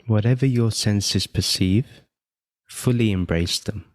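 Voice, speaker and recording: A man leading a guided meditation, giving gentle step-by-step instructions with long pauses between phrases. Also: on the low side, dry recording. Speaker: meditation guide and podcast host.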